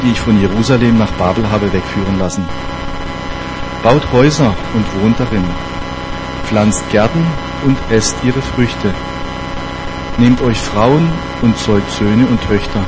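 A man reading a Bible passage aloud in a steady, measured recitation. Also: fairly low pitch, low-quality recording. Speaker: scripture reader.

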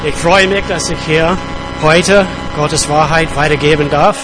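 A man preaching to his congregation while fighting a cold, earnest and conversational, pausing now and then. His voice sits a little high for a man. Music plays clearly behind him. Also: fairly even intonation, poor audio. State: has a cold. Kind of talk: sermon. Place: church.

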